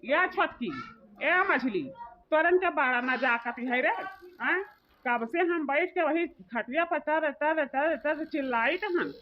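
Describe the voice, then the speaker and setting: A woman's voice: high-pitched, a little slow. A woman voicing a domineering, scolding village mother-in-law in a comedy cartoon, in rural dialect. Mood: shouting, impatient. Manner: nagging, domineering. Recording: muffled audio with clear background noise.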